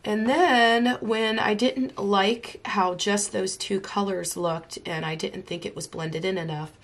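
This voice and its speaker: A woman's voice: mid-range voice, fairly even intonation, slow. A woman, slightly congested from illness, enthusiastically talking through a coloring tutorial as she works. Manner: enthusiastic, chatty, talks while drawing. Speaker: art tutorial host. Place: home craft desk.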